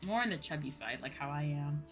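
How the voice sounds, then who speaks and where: A woman livestreamer chatting casually as she plays, emphatic and playfully self-deprecating, with an animated way of talking. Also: low voice, brisk. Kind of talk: casual gaming stream chat. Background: music.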